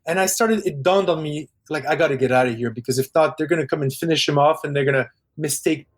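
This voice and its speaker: A middle-aged man with a Parisian French accent, a film director chatting in an interview, animated and quick, often restarting his sentences. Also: fairly high pitch. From Quebec, Canada. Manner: animated storyteller, quick bursts, frequent restarts. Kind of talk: casual interview chat.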